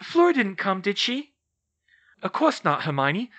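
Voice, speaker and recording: A narrator voicing a protective, fierce middle-aged witch mother with a forceful manner, in a fantasy audiobook. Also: medium pitch, very animated, a little slow, voice pushed up. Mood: fierce, scolding. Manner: forceful, scolding.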